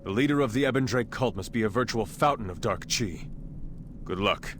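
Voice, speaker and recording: An actor playing an earnest, dutiful palace official in a video game, speaking formally and gravely. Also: male voice, fairly low pitch, lively intonation, fairly quick, very noisy, lightly acted. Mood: concerned. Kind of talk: video game cutscene voice acting. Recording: music behind the voice.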